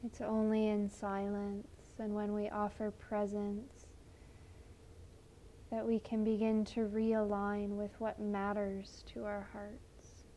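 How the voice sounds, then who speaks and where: A woman leading a guided meditation in a gentle, soothing, unhurried manner with long pauses. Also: mid-range voice, monotone, slight background noise.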